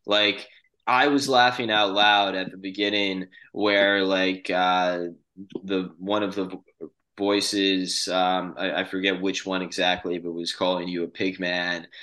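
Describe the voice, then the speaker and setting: A man co-hosting a podcast in casual chat, halting and often repeating words. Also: deep voice, little pitch movement, bright, forceful tone, low-quality recording. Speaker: podcast co-host.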